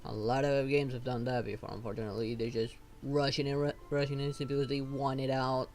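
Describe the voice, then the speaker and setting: A streamer reading game dialogue aloud and commenting on it, often halting and correcting themselves. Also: mid-range voice, flat delivery, darkish tone. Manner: halting when reading, stumbles and self-corrects. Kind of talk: gameplay commentary with improvised read-aloud dialogue. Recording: noticeable background noise.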